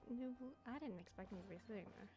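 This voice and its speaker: A woman's voice: fairly high pitch, lively intonation, quick pace, over music. A woman giving casual, slangy, teasing reaction commentary while playing a video game. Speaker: game streamer.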